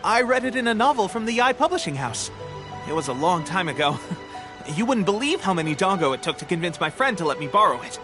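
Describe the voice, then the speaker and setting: An actor playing an eager, boastful, cheerful young soldier in a video game, with an exuberant manner. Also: male voice, high voice, very animated, fast, over music. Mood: boastful, excited. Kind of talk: video game character acting.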